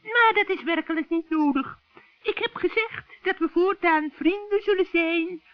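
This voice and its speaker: A man voicing a scheming old witch in a children's radio play, shrill and cackling, with sugary, wheedling friendliness. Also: dry recording. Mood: sugary, gleeful. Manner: wheedling, drawn-out coaxing. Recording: dull, dry vintage radio recording.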